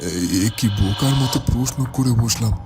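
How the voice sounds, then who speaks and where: A man voices an anxious, cautious father from a village fairytale cartoon. His mid-pitched delivery is halting and courteous. Music plays clearly behind him. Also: steady tone, lightly acted. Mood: worried musing. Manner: halting, polite, stammering when probing. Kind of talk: Bengali fairytale cartoon dubbing.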